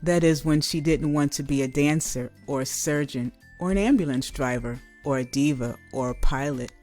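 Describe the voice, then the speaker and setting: Low-pitched woman reading a storybook aloud. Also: normal speed, darkish tone, faint music behind. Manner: dramatic, emphatic delivery with acted character voices. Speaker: teacher reading a picture book aloud. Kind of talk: expressive children's storybook read-aloud.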